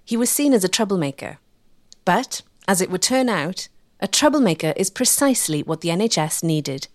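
A woman with a mid-pitched voice narrates a documentary. Her delivery is measured, scripted and articulate, in a very dry recording. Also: lively intonation, no room sound.

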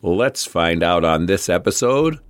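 A man putting on a deep, dramatic announcer voice for a podcast intro, with a frog in his throat. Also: lively intonation, a little slow, no room sound, clean studio sound. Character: deep-voiced male movie-trailer announcer.

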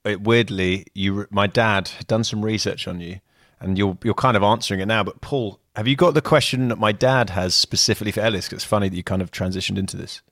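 A man hosting a casual podcast interview, asking his questions in a curious, laid-back way. His voice is on the low side and sounds close and dry, with no room around it. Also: quick pace, a bit bright, no echo. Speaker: podcast host.

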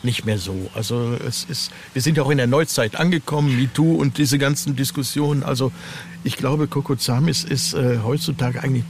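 A man's voice: medium pitch, fairly quick. A man with a German accent speaking as an interviewed author in casual, thoughtful, hesitant conversation. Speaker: guest author of horror novel series. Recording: clearly noisy background.

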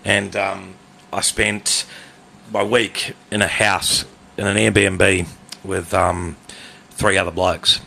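Gravelly-voiced New Zealand man chatting. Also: sits low, flat delivery, takes it slowly, bright, forceful tone, rough recording. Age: 30s. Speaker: podcast co-host. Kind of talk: casual banter.

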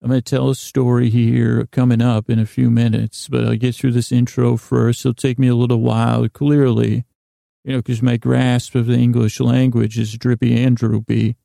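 An American man hosting a bedtime podcast with a gravelly voice, rambling in a lighthearted, gently silly way and often stumbling over and correcting his words. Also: mid-range voice, flat delivery, moderate pace, no room sound, clean studio sound. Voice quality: gravelly, creaky. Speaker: podcast host. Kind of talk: rambling bedtime monologue to lull listeners to sleep.

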